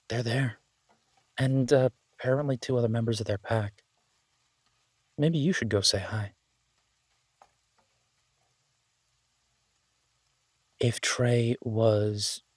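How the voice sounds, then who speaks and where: A man playing a shy, devoted chef boyfriend in an intimate audio roleplay, speaking in a halting, self-deprecating, affectionate way. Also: fairly low pitch, fairly bright tone, slightly rough, no echo, heavily acted. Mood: amused, casual. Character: Beau, warm, shy, flustered male chef and devoted boyfriend.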